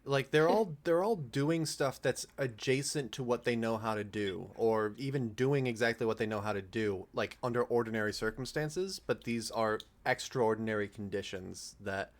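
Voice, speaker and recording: A man's voice: mid-range voice, moderate pace. A man talking casually in a group commentary, analytical and discursive, piling up examples as he goes. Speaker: panelist in a cast and crew commentary.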